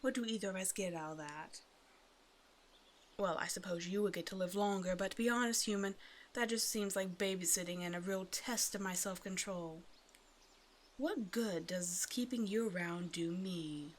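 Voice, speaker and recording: A woman's voice: medium pitch, normal speed, a little hiss or hum, lightly acted. A woman playing a predatory female naga in an ASMR roleplay, talking to the listener with teasing, condescending, casual menace. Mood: condescending, dismissive. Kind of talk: ASMR roleplay, one-sided scripted dialogue to the listener.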